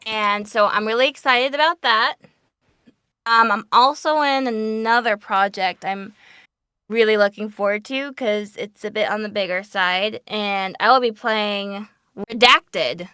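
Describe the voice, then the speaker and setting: A woman's voice: medium pitch, unhurried, sharp tone, clear, resonant tone, dry recording, clean studio sound. A woman who works as a voice actor, talking informally and upbeat as she explains things on a panel. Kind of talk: casual panel Q&A chat.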